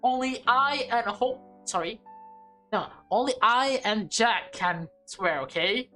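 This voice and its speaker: Expressive woman reading a story over music. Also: fairly high pitch, takes it slowly. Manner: expressive, playful, acts out dialogue. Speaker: gaming video host reading a visual novel aloud. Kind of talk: dramatic read-aloud with casual asides.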